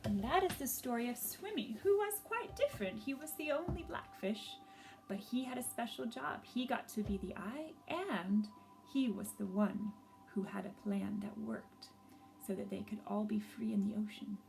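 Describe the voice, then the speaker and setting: A woman reading a story aloud for young children, gently and expressively, pausing to ask them questions. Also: fairly high pitch, gravelly, faint music behind.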